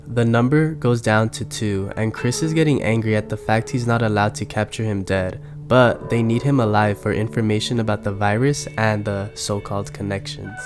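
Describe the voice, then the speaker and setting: A man giving a scripted recap narration of a video game's story, as a lore narrator. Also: on the low side. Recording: music bed under the narration.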